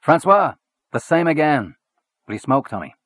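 A man voicing a boastful, worldly man who talks in a loud, hearty, swaggering way. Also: fairly high pitch, big pitch swings, normal speed, no echo, pitched above the performer's own voice. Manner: expansive, swaggering, back-slapping.

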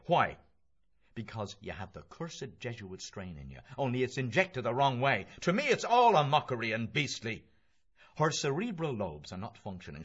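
Man playing a brash, mocking young man, pitched high. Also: a bit bright, breathy edge, lo-fi sound. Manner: declamatory, exclamatory, mocking. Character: brash mocking young male medical student, theatrically boisterous. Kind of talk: literary audiobook character voice.

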